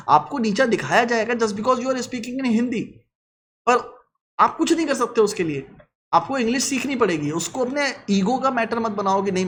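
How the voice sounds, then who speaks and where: Blunt high-voiced man. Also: a bit bright, a little hiss or hum. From Lucknow, India. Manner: blunt, lecturing, mixes English phrases into Hindi. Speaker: vlogger. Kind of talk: casual advice monologue.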